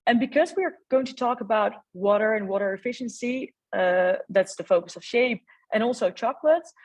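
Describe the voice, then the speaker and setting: A woman's voice: on the high side, moderate pace, distant mic. A woman moderating a webinar panel, asking questions with frequent fillers. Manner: hesitant, uses fillers.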